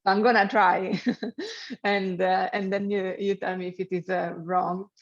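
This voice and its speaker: A woman with an Italian accent welcomes people to an online webinar, stopping often to search for words and dropping the odd light joke. Her voice sits at a middle pitch. The call audio is dull and lo-fi. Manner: halting, many fillers, light joking. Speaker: webinar host and program manager. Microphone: video call.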